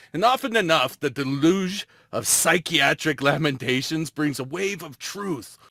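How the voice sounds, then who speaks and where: Guy doing brooding theatrical mad doctor, bright forceful voice, dry close sound. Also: fairly high pitch, no echo, exaggerated voice. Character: grandiose, cornered mad doctor, male. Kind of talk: amateur anime-style drama table read.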